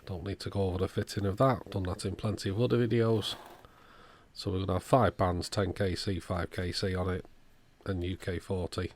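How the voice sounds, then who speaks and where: A man's voice: deep voice, fairly even intonation, brisk, a little hiss or hum. A man giving a casual, matter-of-fact repair walkthrough, thinking aloud in an understated way. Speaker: electronics repairer presenting own channel. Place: indoors at a workbench.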